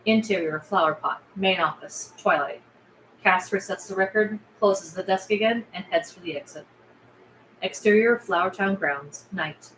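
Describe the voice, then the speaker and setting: A woman with a mid-pitched voice narrates screenplay directions. She is recorded in a roomy, echoing space with faint noise behind her. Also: a bit bright. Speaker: reader of stage directions. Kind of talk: scripted narration at a table read. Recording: echoey room, faint background noise.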